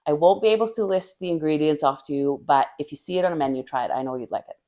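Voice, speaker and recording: A woman from Finland in her thirties, an artist giving earnest, thoughtful answers in a casual interview, seated at a table in a bright living room. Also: deep voice, fast. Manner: thoughtful, earnest, with little laughs. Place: bright living room with art-covered walls. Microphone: camera across a table.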